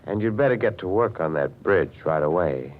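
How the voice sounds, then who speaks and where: An actor playing a calm, vengeful man in a 1940s radio drama, measured and coolly controlled. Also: deep voice. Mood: businesslike relief. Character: calm, vengeful man springing a trap on a murderess. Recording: narrow, hissy 1940s radio recording.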